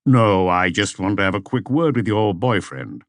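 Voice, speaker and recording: A calm, probing detective chief inspector, voiced by a male narrator, speaks low and measured. He questions with polite insistence in a dry audiobook recording. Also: no room sound. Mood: polite, firm.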